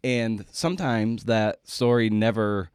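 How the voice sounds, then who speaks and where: A male podcast host speaks in a conversational interview style. His voice sits on the low side and is recorded very dry, with no room sound. He feels his way through sentences, rephrasing as he goes. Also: slow, darkish tone, clear, resonant tone, no echo.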